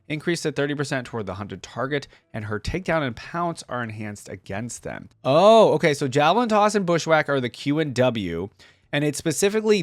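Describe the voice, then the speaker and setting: A man hosts a casual reaction video in a close, dry-sounding recording. He moves between reading text aloud and conversational commentary, thinking out loud as he goes. His voice sits at an ordinary male pitch. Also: no room sound.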